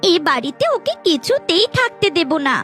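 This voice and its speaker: A woman's voice: very high-pitched, very animated, moderate pace, bright, forceful tone, full character voice. A woman voicing a spoiled, haughty little girl in a cartoon fairy tale, whiny, rude and pouting. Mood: haughty, defiant. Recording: faint background music.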